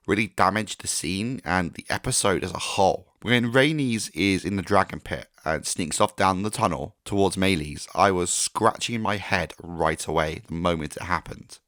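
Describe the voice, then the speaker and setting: Low, hoarse male reviewer, blunt and opinionated, dry close sound. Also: sharp tone, no room sound. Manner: frank and critical, mild swearing. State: hoarse, recovering from a sore throat.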